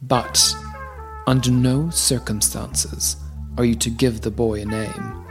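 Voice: mid-range voice, fairly even intonation, takes it slowly. A performer voicing a travelling male dervish, a prophetic guest in a radio play. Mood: solemn warning. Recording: music behind the voice.

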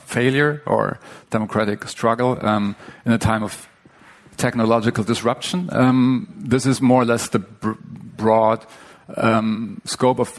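A man co-hosting a live podcast on stage, hesitant, with many fillers and restarts. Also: fairly low pitch. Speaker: podcast co-host. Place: stage before a live audience.

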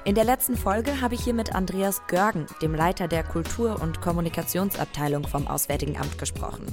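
A woman host reading a scripted podcast introduction in a clear, friendly voice. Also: fairly low pitch, brisk, over music.

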